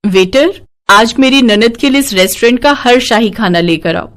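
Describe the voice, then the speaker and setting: A kind young married woman played by a female storyteller with a high voice. She sounds caring and selfless, gently expressive, like the good sister-in-law of a cartoon moral tale. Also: moderate pace, lightly acted. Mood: cheerful. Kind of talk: animated moral story dialogue.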